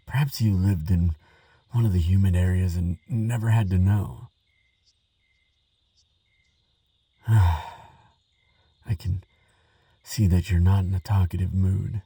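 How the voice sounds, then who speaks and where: A man playing a nervous, kindly, chatty researcher in an audio roleplay, hesitant and gentle, rambling with small stammers. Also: low voice, husky, dry recording, recorded from a distance, exaggerated voice. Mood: awkward, apologetic. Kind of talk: audio roleplay monologue addressed to the listener.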